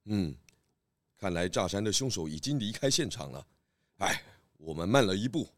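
Man as a theatrical low-voiced pig detective. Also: expressive, moderate pace, no room sound, studio quality, natural voice. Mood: pondering. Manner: composed, knowing, theatrical exclamations. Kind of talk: children's audio drama acting.

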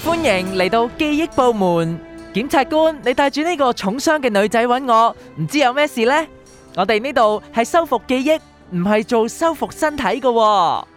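A woman plays a courteous, evasive doctor of memories in a Hong Kong Cantonese radio drama. Her fairly high voice is formal and apologetic, deferring to authority, with music underneath. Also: lively intonation, normal speed, close to an everyday voice. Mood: politely wary. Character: polite, evasive female memory-department doctor, deferential to superiors. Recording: music bed behind the voice.

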